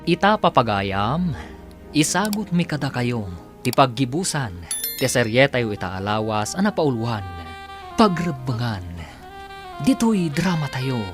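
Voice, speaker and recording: Male announcer, Ilocano accent, very animated pitch, slow delivery, dramatic radio style. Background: dramatic music.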